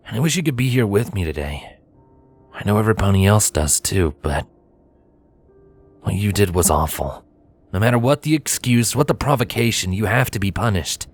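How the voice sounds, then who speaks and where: A man playing a gentle but firm pony husband in a story reading, earnest and given to sighs. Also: deep voice. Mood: sorrowful, tender. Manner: soft-spoken, sighing, earnest.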